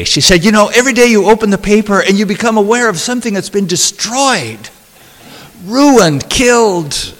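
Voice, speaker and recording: A man reading his own poetry and talking about it, in a deliberate, measured way with dramatic pauses. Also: high voice. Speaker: poet reading own work. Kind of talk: poetry reading with spoken asides. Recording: long hall reverb.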